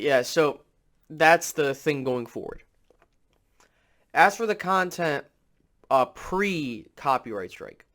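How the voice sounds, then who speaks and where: A man hosting a casual sports commentary, sounding under the weather with a slightly raspy, nasal voice and rambling as he thinks aloud. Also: mid-range voice, a bit bright. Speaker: sports channel host.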